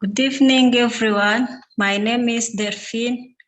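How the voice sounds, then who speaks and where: Woman, psychiatric social worker, slow, slightly high, formal. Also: fairly even intonation. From Rwanda. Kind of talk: self-introduction on a panel.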